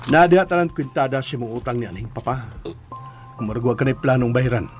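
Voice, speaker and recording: A man's voice: medium pitch, fast, over music, close to an everyday voice. A man with a Cebuano accent playing a cold, domineering rich debt collector in a radio drama, curt and menacing. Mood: cold threat. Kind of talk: Philippine radio drama acting.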